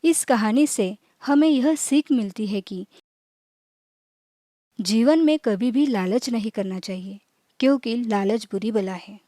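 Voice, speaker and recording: Slow, high-voiced woman storyteller. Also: dark tone, no room sound, clean studio sound. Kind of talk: scripted children's moral story narration.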